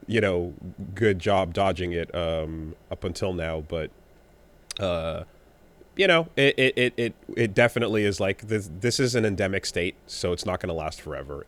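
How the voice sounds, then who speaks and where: A man co-hosting a podcast in casual chat, rambling and halting with many restarts, a bit winded after being sick. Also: sits low, fairly bright tone. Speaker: podcast co-host.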